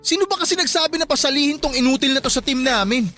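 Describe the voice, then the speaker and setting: Sharp-tongued, bossy young boyfriend, high bright voice. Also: quick pace. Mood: irritated. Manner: insulting, bossy, sarcastic. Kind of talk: radio drama acting. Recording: radio drama with faint background music.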